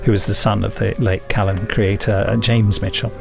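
A man's voice: fairly low pitch, little pitch movement, moderate pace, creaky. Playful male podcast host over light music. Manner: playful, jokey, theatrical when reading promos. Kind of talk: chatty scripted presenting with banter. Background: music bed.

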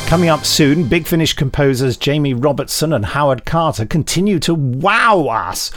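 A British man with a gravelly voice and a dry, wry manner, chatting casually as a podcast co-host. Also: a little high, animated, normal speed, a bit bright, soft background music.

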